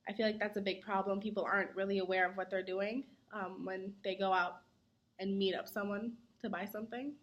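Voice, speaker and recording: A young adult woman, a student, giving earnest answers in a mock interview, often pausing on "um". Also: mid-range voice, fairly even intonation, lo-fi sound. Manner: earnest, many "um" fillers; says she talks fast when nervous.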